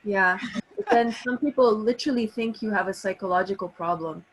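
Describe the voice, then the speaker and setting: A woman's voice: mid-range voice, fairly quick, breathy edge, rough recording. A middle-aged woman from Canada, talking casually and personally as a participant on an online call through a laptop. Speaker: participant calling in, massage therapist. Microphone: laptop on an online call, no camera.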